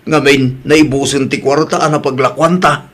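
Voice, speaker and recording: A man's voice: mid-range voice, fairly even intonation, moderate pace, fairly bright tone, lightly acted. A man with a northern Philippine accent playing an older, evasive, defeatist gambling father in an audio drama. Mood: defensive.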